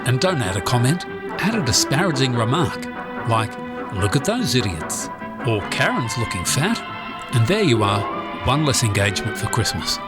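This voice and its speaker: A man's voice: medium pitch, sing-song, normal speed, loud music behind the voice, natural voice. A man playing a breezy, tactless radio presenter in a comedy show. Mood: mocking. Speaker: radio host and announcer.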